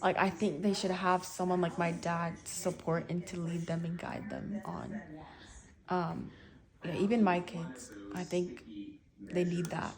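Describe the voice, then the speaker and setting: Teenage girl, slightly low-pitched, hesitant. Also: steady tone, a little slow, a crowd in the background. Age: teens. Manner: hesitant, youthful filler-heavy phrasing with frequent "like". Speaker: interviewee, daughter. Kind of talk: casual conversational answers.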